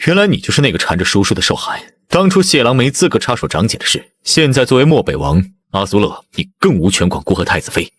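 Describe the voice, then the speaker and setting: A man playing a haughty, possessive young crown prince in an animated drama, imperious and formal. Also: on the low side, fast, few pauses. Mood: cold. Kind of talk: dubbed animated short drama, scripted.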